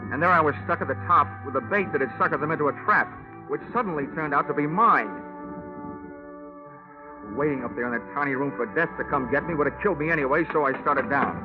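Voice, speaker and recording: An American actor playing a wisecracking, hard-boiled insurance investigator in an old radio drama, wry, slangy and deadpan. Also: male voice, mid-range voice, close to an everyday voice. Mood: tense narrating. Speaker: lead actor and narrator. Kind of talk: old-time radio detective acting. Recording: muffled old radio recording, music behind.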